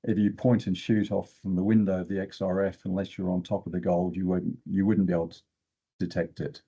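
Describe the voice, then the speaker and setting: A man's voice: low voice, little pitch movement, a little fast, gravelly, mellow, breathy edge, highs cut off. An older man speaking as a guest expert, explaining technical material in a plain-spoken way with short emphatic phrases. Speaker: guest expert in exploration geochemistry.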